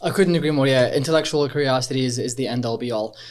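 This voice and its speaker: A man in his twenties, originally from Algeria, talking casually as a startup co-founder in an interview, relaxed and rambling with many fillers. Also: mid-range voice, quick pace. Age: 20s.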